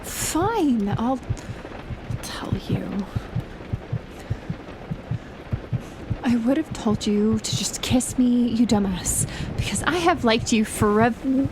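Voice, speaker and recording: Casting: actress as shy, teasing best friend in love, for intimate audio roleplay; highish, very unhurried, flustered, half-whispered, rain and thunder behind. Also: female voice, expressive, noisy background. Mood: embarrassed, confessing. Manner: playful, flustered, hesitant, breathy half-whispers.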